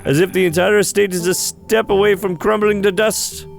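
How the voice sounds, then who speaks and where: A man's voice: sits high, steady tone, normal speed, vocal fry, soft background music, voice pushed up. A man voicing a sarcastic city visitor in a horror visual novel, wry and mock-enthusiastic. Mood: weary, dramatic. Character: sarcastic city-dweller house guest in a horror story.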